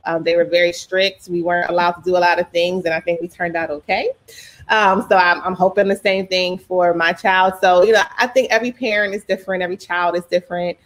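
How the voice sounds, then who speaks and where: A woman's voice: fairly low pitch, fairly even intonation, brisk. A woman, a mother and parent advocate, answering interview questions in a frank, casual way, often saying "you know" and "um.". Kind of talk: conversational interview.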